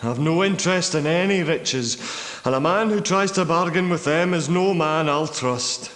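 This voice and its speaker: A man's voice: fairly high pitch, moderate pace, fairly bright tone, lightly acted. A male actor with a Scottish accent playing a weary, wary old war veteran in a video game, guarded and plain-spoken, with echoey reverb. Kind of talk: video game character dialogue. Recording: echoey, long hall-like reverb.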